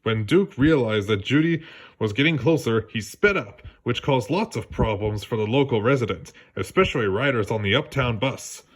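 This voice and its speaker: A man narrating a children's read-along story in a lively storytelling manner. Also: medium pitch, moderate pace, breathy edge. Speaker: storybook reader.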